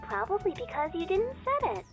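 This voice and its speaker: High, girlish teasing schoolgirl. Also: huge pitch swings, normal speed, faint music behind, heavily acted. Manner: teasing, playful. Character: teasing young female schoolmate on a phone call. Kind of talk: visual novel voice acting.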